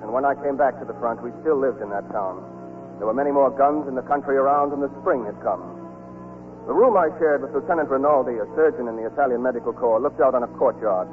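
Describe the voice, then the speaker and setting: A man hosting and narrating a scripted 1940s radio drama. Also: mid-range voice. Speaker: host and narrator. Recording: tinny, muffled 1940s radio broadcast.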